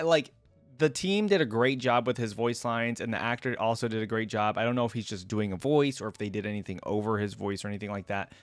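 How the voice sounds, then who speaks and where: A man's voice: mid-range voice, dry recording. A man hosting a reaction video, giving enthusiastic, rambling, off-the-cuff commentary in a casual way.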